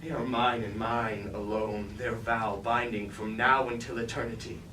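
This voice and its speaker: An actor playing a fallen robot warlord on stage, grandiose and commanding, in formal, declamatory tragic verse. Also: male voice, mid-range voice, fairly even intonation, normal speed, pushed and bright, lightly acted. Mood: proud, defiant. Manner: declamatory, archaic formal speech. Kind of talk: staged reading of mock Greek tragedy. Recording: roomy, distant mic, clear background noise.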